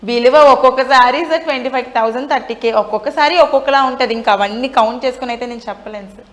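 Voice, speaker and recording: A woman's voice: on the high side, fast talker, fairly bright tone. A woman giving her account as a live interview guest, aggrieved and pleading, repeating herself and mixing in English words. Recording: echoey, reverberant remote call.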